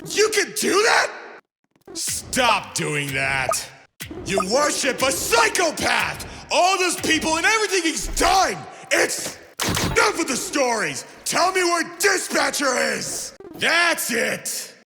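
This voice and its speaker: A man's voice: extremely high pitch, big pitch swings, takes it slowly, raised voice, full character voice. A man playing an indignant, distrustful hero in a video game, speaking in an incredulous, accusing way. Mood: outraged disbelief. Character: indignant, distrustful male hero confronting a storyteller. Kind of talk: dramatic game voice acting. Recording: reverberant hall with clear music behind.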